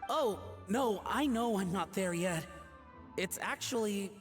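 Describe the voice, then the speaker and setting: A woman's voice: medium pitch, lively intonation, takes it slowly, big-room sound, soft background music. A woman voicing a modest, polite young aspiring cook in a video game. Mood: shy eagerness.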